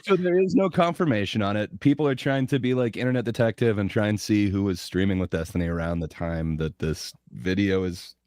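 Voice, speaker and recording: Man, somewhat low pitch, very dry sound, livestream panelist, casual conversation. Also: fairly quick, few pauses, a little mellow, no echo. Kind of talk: casual chat.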